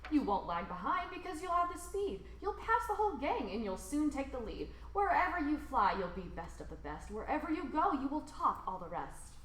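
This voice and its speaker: A woman with a fairly high, very lively voice reads a rhyming picture book aloud to children. She leans into the rhymes with theatrical emphasis, stretching words for drama. The recording has a clearly roomy echo. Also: a little fast, hardly any pauses, a little hiss or hum. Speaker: storybook reader.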